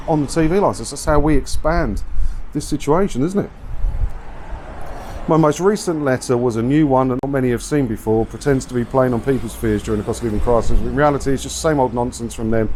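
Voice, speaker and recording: A middle-aged man talks in a blunt, informal way, rambling casually to camera. His voice sits at an average pitch. It is recorded outdoors, with faint street and traffic noise behind him. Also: a little mellow. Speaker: video creator and host. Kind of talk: casual walking vlog, rambling chat to camera. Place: outdoors, walking around a seaside town.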